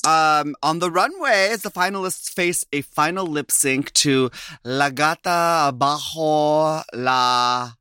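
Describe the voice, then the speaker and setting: A man's voice: fairly high pitch, takes it slowly, sharp tone, smooth, no room sound, studio quality. A man co-hosting a podcast, bantering in a playful, sassy way. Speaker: podcast co-host. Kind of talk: casual podcast chat.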